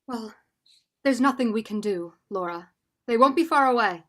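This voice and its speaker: A woman's voice: fairly high pitch, expressive, moderate pace, fairly bright tone. A student actress playing an anxious, doubtful married woman with earnest melodrama in a vintage radio mystery. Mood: doubtful, uneasy. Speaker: student actor.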